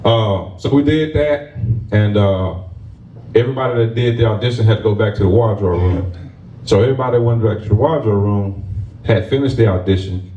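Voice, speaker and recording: Male stage actor, animated storyteller, lowish voice, mic set back from him. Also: brisk, lo-fi sound. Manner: lively storytelling, sprinkled with laughs; ends with motivational encouragement. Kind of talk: storytelling to a live audience. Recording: roomy sound from a distant mic.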